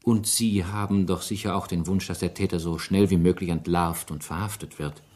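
An actor playing a calm, probing police inspector in a German radio play, questioning politely and deliberately. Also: male voice, deep voice. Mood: politely firm.

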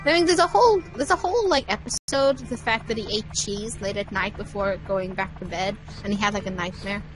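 A woman's voice: mid-range voice, a little fast, music in the background, low-quality recording. A woman chatting casually over a game stream, rambling and giggling with lots of fillers. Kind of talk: casual chat.

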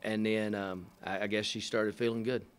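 A middle-aged man, a head coach, answering press questions after a game at a table mic, halting with many fillers and dry, matter-of-fact humor. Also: fairly low pitch, level tone, slight background noise. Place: press room in front of a sponsor backdrop. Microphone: table microphone.

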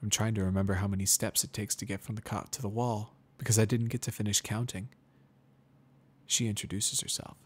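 A man narrating a first-person story as a dramatic reading, in a measured, brooding manner. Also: on the low side, flat delivery, husky.

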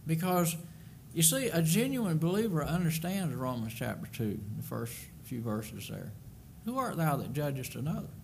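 Earnest older preacher at a pulpit. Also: male voice, on the high side, sing-song, normal speed, vocal fry, hardly any pauses, a little mellow, slight background noise. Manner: earnest, emphatic, repeats words while building a point. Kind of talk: sermon. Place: church sanctuary at a wooden pulpit. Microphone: pulpit microphone.

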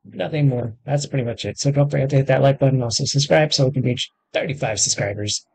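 A man in his thirties giving casual game commentary in a halting, rambling way. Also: medium pitch, vocal fry, darkish tone, breathy edge. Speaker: gaming video creator.

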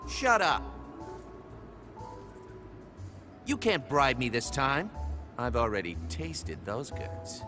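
An actor playing a cocky, irritable young assassin in a video game, brash, slangy and sarcastic. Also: male voice, mid-range voice, huge pitch swings, measured pace, over music. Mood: grudging, annoyed. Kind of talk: animated video game acting.

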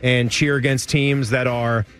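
A man hosts a post-game college football radio show, running through scores from around the country. He talks fast and loose, with jokes between results. Faint music plays underneath. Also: mid-range voice. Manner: lively, rattles off scores and jokes. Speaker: radio sports host.

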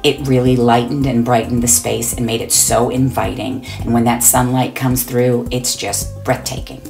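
Brief: female designer, upbeat voice-over narrator, very deep, gravelly tone. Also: fairly even intonation, normal speed, a little mellow, faint music behind. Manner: upbeat, enthusiastic. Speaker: interior designer. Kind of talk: voice-over narration of a home reveal.

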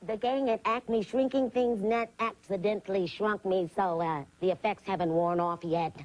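An actress playing a brisk, bossy detective chief shrunk tiny, in comic children's TV style. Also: female voice, mid-range voice, fairly even intonation, close to an everyday voice, rough recording. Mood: urgent, exasperated.

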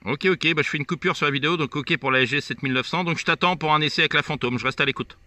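A man's voice: fairly high pitch, rapid-fire, background noise. A man chatting casually on amateur radio and showing his gear outdoors, explaining it hesitantly step by step. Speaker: amateur radio operator filming a video. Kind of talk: casual radio chat and hands-on demonstration. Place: outdoors on a hilltop field.

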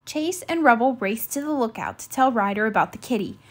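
A woman reading a children's storybook aloud in an expressive style. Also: fairly high pitch, brisk. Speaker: children's book reader.

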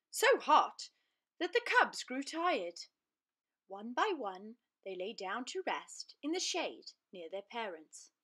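A woman narrating a children's storybook aloud in an expressive, deliberate, dramatic way. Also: a little high.

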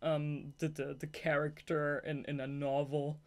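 Audiobook narrator, young adult, native German speaker, medium pitch, amateur novel reading with fannish asides. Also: flat delivery, measured pace. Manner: giggly, gushing fangirl asides between read passages. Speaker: audiobook reader.

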